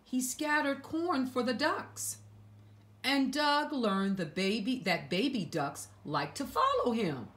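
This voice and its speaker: A woman reading a picture book aloud to young children, with dramatic emphasis and hushed asides to her listeners. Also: fairly high pitch, sing-song, slow pace. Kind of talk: expressive read-aloud storytelling for young children.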